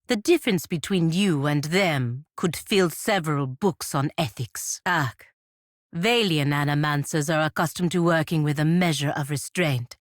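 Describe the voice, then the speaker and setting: An actress playing a proud, sardonic fantasy warrior-diplomat in a video game, speaking formally and with dry scorn. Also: female voice, low voice, measured pace, no room sound. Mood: dry, scornful. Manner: formal, clipped, dryly scornful. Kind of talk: video game character dialogue.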